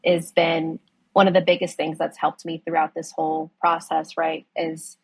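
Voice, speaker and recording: A young adult woman whose first language was Spanish speaks in a lowish, husky voice. She talks earnestly in a casual interview, leaning on fillers and "right?" tags. The recording is dry and close. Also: little pitch movement, normal speed, no echo, rough recording. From United States. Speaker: guest, ocean conservation director.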